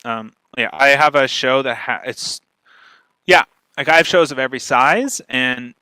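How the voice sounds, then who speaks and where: Bright, emphatic man in a dry recording. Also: medium pitch, unhurried, smooth, no echo. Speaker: podcast growth strategist and guest speaker. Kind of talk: informal question-and-answer talk.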